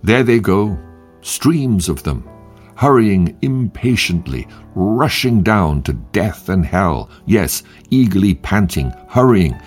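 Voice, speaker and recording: A man hosting a devotional show, reading short quotes in a measured, emphatic way with long pauses. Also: low-pitched, big pitch swings, husky, soft background music. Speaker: podcast host and devotional reader.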